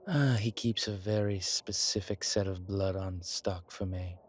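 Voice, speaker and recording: A man playing a suave, grateful old vampire in a roleplay audio, speaking formally with pauses and quiet amusement. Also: deep voice, steady tone, breathy edge. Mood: wry, confiding. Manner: genteel, formal, hesitant pauses, soft chuckles. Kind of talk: intimate one-sided roleplay audio drama.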